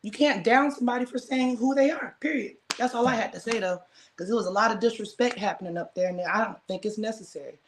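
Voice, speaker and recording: A woman's voice: a little high, quick pace, a little hiss or hum. An American woman speaking as a guest in a casual debate, firm and emphatic, driving her points home by repeating them. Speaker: guest caller. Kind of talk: casual heated discussion.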